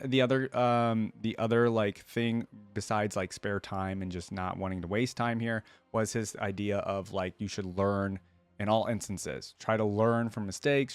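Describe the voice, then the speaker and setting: A man's voice: on the low side, smooth, no echo. A man hosting a reaction video, talking casually and rambling informally as he muses, close to the microphone.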